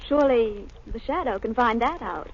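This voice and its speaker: A woman's voice: a little high, expressive, normal speed. An actress playing a refined, inquisitive lady companion in a 1930s radio drama. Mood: concerned curiosity. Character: genteel, inquisitive lady companion of a crime fighter. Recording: dull, hissy 1930s radio recording.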